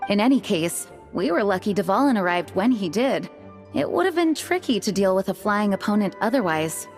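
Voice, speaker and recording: A woman's voice: mid-range voice, very animated, normal speed, darkish tone, close to an everyday voice. A woman playing a dutiful, practical knight leader in a video game, composed and businesslike. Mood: calm, practical. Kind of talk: video game cutscene acting. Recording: music behind the voice.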